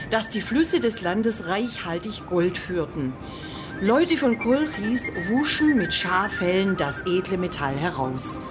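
An actress playing an eager, expressive woman traveller in a radio drama. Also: mid-range voice. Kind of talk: radio drama dialogue and storytelling.

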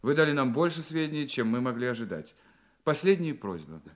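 An actor playing a confident, courteous detective in a Russian radio drama, questioning politely and deliberately. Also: male voice, mid-range voice, big pitch swings, lightly acted. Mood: courteous, probing. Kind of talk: Russian radio play acting.

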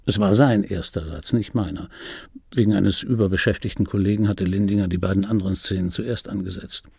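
An actor playing an ageing, wry and obsessive dubbing artist in an intimate radio drama monologue, speaking deliberately with pauses and repeated phrases in a gravelly, husky voice. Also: male voice, low-pitched, steady tone, a little mellow, no room sound, clean studio sound, heavily acted. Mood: musing, precise. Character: ageing male dubbing actor recalling his screen star, wry, obsessive. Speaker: narrator of a radio play.

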